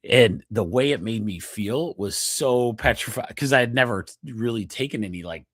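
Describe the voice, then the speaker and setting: Nasal, husky-voiced chatty man. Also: medium pitch, lively intonation, moderate pace, a bit bright, dry recording, studio quality. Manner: chatty, digressive, laughs often, checks in with "okay?". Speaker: chiropractor podcast host. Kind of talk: casual health talk.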